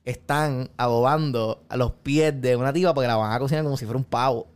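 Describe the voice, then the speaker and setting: A man co-hosting a casual movie podcast, telling animated stories and acting out quotes. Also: mid-range voice, a little fast, clear, resonant tone. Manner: animated storyteller, acts out quotes. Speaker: podcast co-host. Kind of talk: casual chat about movies.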